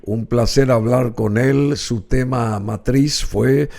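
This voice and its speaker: A man's voice: mid-range voice, slow, gravelly, darkish tone. A male radio host with a Paraguayan Spanish accent, interviewing a guest, effusive in his thanks and rambling with many fillers.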